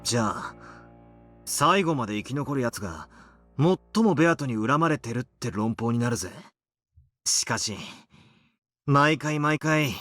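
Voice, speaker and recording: An actor playing a brash young amateur detective in a visual novel, speaking in a rough, casual, dramatic way. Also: male voice, mid-range voice, expressive, fairly quick, long pauses, fairly bright tone, no echo, soft background music, close to an everyday voice. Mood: brooding, reasoning. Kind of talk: Japanese visual novel voice acting.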